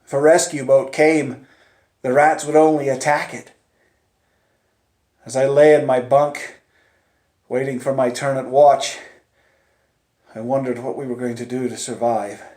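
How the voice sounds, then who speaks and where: A man telling a dramatic first-person story in a theatrical manner, moving between hushed tones and urgent cries. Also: mid-range voice, flat delivery, unhurried, pauses often. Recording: echoey, roomy sound.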